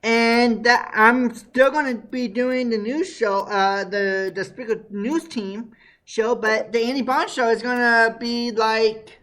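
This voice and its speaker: A man with a high voice hosting a podcast, talking in an excitable, casual way and laughing easily. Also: takes it slowly. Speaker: podcast host. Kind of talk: casual chat.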